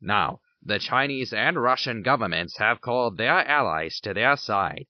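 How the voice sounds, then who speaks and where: A man's voice: lowish voice, animated, unhurried, dry recording. A man playing an urgent, patriotic war-time radio announcer reading theatrical scripted news bulletins. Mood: solemn. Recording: dull band-limited radio broadcast sound.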